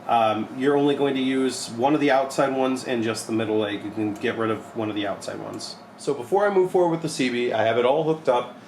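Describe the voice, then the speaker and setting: A young man with a mid-pitched voice gives a practical, informal tutorial. He explains each step in plain words, like a technician at the bench. The small workshop sounds echoey, with noise in the background. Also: a little fast, a little mellow. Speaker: repair technician demonstrating a modification. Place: small cluttered electronics repair workshop, indoors.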